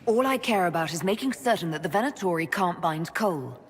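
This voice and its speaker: Woman doing a practical no-nonsense leader, lowish voice, faint hiss in the game audio. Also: animated, a little fast, close to an everyday voice. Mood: pragmatic. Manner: direct, practical. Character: pragmatic woman leading an order.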